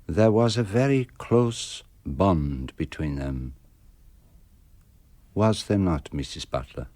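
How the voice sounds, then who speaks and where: An actor playing a shrewd, self-assured detective in a radio mystery, explaining things in a measured, deliberate way. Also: male voice, low voice, animated, dark tone, dry recording, heavily acted. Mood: grave, knowing. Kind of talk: radio mystery drama acting.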